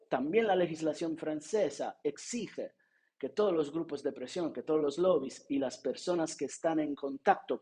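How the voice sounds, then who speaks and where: A man's voice: on the high side, dark tone, slightly rough. A man with an Italian accent whose first language is Italian, giving an academic lecture at a seminar in a hesitant way, with frequent filler sounds and restarts. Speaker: seminar speaker.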